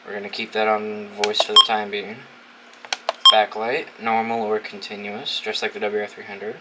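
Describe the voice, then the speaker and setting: A young American man with a fairly low voice talks through a gadget setup in a loose, informal way, thinking aloud and swearing freely. The recording has clear noise behind him. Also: completely flat delivery, normal speed. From New England, United States. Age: young adult. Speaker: video host reviewing a gadget. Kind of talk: casual unboxing and setup commentary. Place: dorm room.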